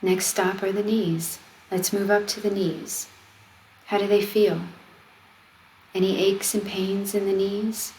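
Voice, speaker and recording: A woman leading a guided meditation, speaking in a gentle, soothing, conversational way as she gives simple instructions. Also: mid-range voice, takes it slowly, poor audio. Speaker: meditation guide, wellness coach. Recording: steady noise of ocean waves. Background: ocean wave sounds.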